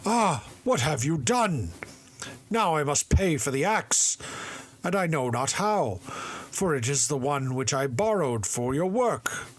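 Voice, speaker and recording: A gruff old woodcutter father scolds his son, loud, exasperated and anxious, as a man reading the tale puts him on. His pitch jumps around a lot, and there is a husky edge to the voice. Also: sing-song, normal speed. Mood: scolding.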